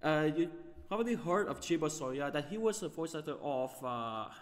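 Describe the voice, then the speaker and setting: A man hosting a gaming video, giving off-the-cuff commentary in a halting way, often stumbling over his words. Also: fairly high pitch, lively intonation, in a large hall.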